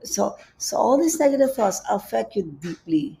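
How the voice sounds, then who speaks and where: A woman with a Filipino accent giving a gentle, repetitive spiritual teaching on a livestream, reading out viewer comments. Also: a little high, very animated, moderate pace, gravelly, breathy edge. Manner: gentle, repeats phrases, reads viewer comments aloud. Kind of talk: live-streamed spiritual teaching. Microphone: livestream setup.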